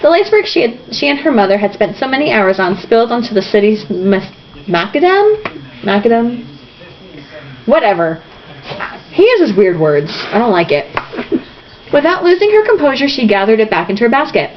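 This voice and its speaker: A woman's voice: on the high side, very animated, moderate pace, long pauses. A woman reading a novel aloud informally, theatrical and irreverent, with asides. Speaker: reader. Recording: dull lo-fi home recording, faint noise.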